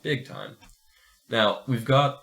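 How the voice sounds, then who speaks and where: A man who hosts and reads stories in a deliberate, measured manner. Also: medium pitch, gravelly, fairly bright tone. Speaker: podcast host and story reader. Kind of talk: dramatic first-person story narration, then casual chat.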